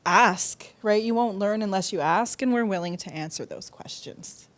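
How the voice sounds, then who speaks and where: Casual woman, medium pitch. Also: normal speed. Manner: informal, ends points with "right?". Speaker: podcast guest, college staff member. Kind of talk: casual chat in an interview.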